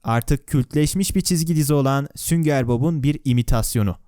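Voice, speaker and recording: A man's voice: mid-range voice, a little fast, dark tone, no echo. A man narrating a video in a scripted but conversational way, with wry, sarcastic asides and questions to the viewer, recorded close on a voice-over microphone. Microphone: close, dry voice-over microphone.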